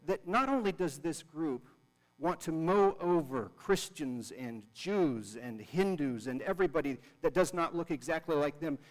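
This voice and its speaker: A man preaching a sermon to a congregation, earnest and repeating words for emphasis. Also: fairly high pitch. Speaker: pastor. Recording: long reverb of a large hall.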